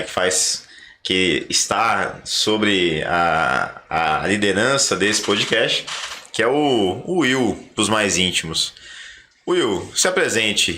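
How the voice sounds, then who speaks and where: A Brazilian man hosts a podcast in a conversational, explanatory style. His voice sits fairly low but has a bright, forceful edge. There is some background room noise. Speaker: podcast host.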